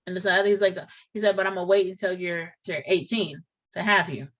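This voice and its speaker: A woman's voice: on the low side, fairly even intonation, a little fast, a bit bright. A woman chatting casually on a reaction show, earnest and rambling, often restarting her sentences. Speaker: co-host of a reaction channel.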